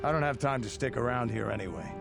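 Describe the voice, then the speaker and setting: Gruff, creaky mercenary tough. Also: male voice, medium pitch, expressive, quick pace, over loud music. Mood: scornful. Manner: gruff, dismissive. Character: tough, contemptuous mercenary hard man.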